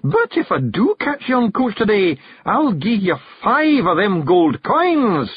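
A bumbling, bearded Scottish stranger from a storybook, pitched high with a rough growl. He blusters and bosses, stumbling over his words and correcting himself. The delivery swoops about, and the audio is slightly dull. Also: sing-song, no room sound, exaggerated voice. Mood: threatening. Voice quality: growly, snarling. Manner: blustering, halting, self-correcting, bossy. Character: bearded bumbling bossy stranger, tiny folk disguised as one man.

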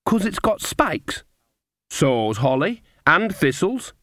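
A cheeky little storybook girl voiced by a man, pitched well up. The child is innocent yet stubbornly doubtful, challenging whatever she hears with childish insistence. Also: sing-song, slow, pushed and bright, no room sound, studio quality, heavily acted. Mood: doubtful, taunting. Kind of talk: audiobook character voice.

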